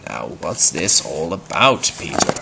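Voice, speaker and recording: A man acts out a weary village priest in a storybook tale. He sounds stern and exasperated, with a gravelly edge to the voice. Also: low-pitched, very animated, measured pace, heavy background noise, heavily acted. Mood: puzzled.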